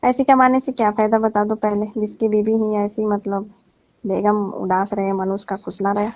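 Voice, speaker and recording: A woman's voice: mid-range voice, flat delivery, moderate pace, darkish tone, no room sound, close to an everyday voice. A woman playing a flirtatious, needy married aunty in a staged phone-call skit, pleading and complaining suggestively. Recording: dull, lo-fi call recording.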